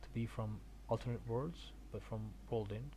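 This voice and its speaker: A man from Argentina chatting casually on a game stream, haltingly thinking aloud and repeating words. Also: mid-range voice, mellow, a little hiss or hum. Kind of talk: casual rambling stream chat about games.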